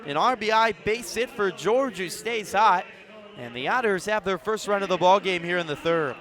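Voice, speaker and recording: Higher-voiced man calling baseball on radio. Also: expressive, moderate pace, a bit bright. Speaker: baseball radio play-by-play announcer. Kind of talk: live play-by-play commentary. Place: outdoors at a ballpark.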